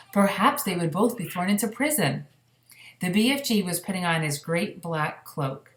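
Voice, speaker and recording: Low-pitched woman, echoey read-aloud. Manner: explanatory, addressing young listeners. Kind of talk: children's book read-aloud with casual asides. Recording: echoey room.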